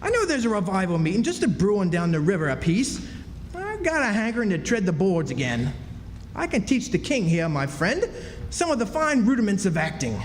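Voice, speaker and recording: Man playing a high-voiced, grandiose phony duke. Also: huge pitch swings, fairly quick, slight background noise. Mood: scheming, chummy. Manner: grandiose, florid stage declamation. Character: theatrical, self-pitying con man posing as a duke. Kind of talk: stage radio drama acting. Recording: echoey hall stage performance, music behind.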